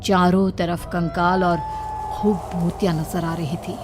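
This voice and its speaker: A woman's voice: lowish voice, little pitch movement, slow. A woman reading scripted horror story narration as the storyteller. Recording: music playing behind narration.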